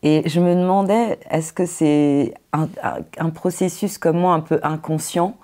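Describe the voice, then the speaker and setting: A woman from Corsica hosting a podcast, asking questions in a chatty, relaxed way. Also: low voice, a little slow. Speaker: podcast host. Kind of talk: casual interview chat.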